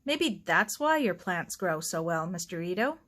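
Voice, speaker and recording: A woman's voice: on the low side, sing-song, moderate pace, a bit bright. A woman voicing a polite, curious and slightly hesitant young girl in a story.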